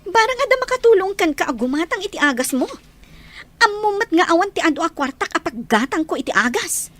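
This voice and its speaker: Woman acting a very high, fretful Ilocano woman. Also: very animated, quick pace, fairly bright tone, no room sound, heavily acted. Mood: fretful, nagging. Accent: Ilocano Filipino. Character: high-voiced, anxious, sharp-tongued woman short of money. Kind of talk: Ilocano audio drama acting.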